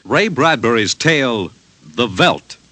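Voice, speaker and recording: A man's voice: mid-range voice, big pitch swings, slow pace. An American man announcing a 1950s radio show in a grand, portentous, scripted style. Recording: muffled 1950s radio broadcast under loud music. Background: loud dramatic music.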